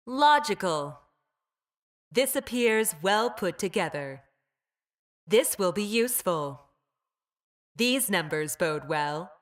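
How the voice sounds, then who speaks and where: Female engineered creature, video game, coldly logical, formal, deadpan, unhurried measured delivery. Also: medium pitch. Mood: calm, confident. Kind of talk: video game character voice lines.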